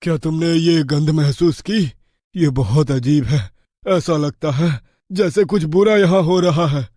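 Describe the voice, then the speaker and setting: A man's voice: on the high side, unhurried, mellow, no echo, clean studio sound. A man speaking tense, urgent lines in a horror story. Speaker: story character voice.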